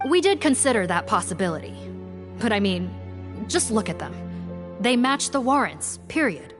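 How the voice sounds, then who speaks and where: Actress as brisk no-nonsense lady detective in an anime game, really animated delivery, bit of music under. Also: mid-range voice, close to an everyday voice. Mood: skeptical, insistent. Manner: matter-of-fact, pointed. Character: brisk, skeptical female investigator. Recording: faint music behind.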